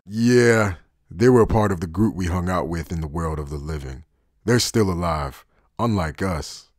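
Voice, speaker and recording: Anime outlaw male actor: deep voice, cool and tough, plain factual delivery, dry close sound. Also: moderate pace, no echo. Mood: cool explaining. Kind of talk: anime fan dub acting.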